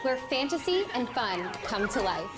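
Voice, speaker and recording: An actress playing a cheery pizzeria announcer in a scripted film trailer promotion. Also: female voice, on the high side, big pitch swings, unhurried. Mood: cheery, inviting. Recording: trailer playback with music and chimes.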